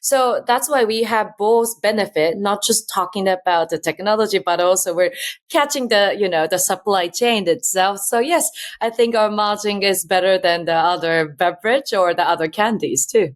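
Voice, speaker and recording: A woman's voice: medium pitch, normal speed. A woman in her thirties from Japan with a Japanese accent, talking earnestly as an interview guest, filling her sentences with "you know" and loose phrasing. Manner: earnest, chatty, many "you know" fillers, loose grammar. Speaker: guest, company founder. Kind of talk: casual interview talk.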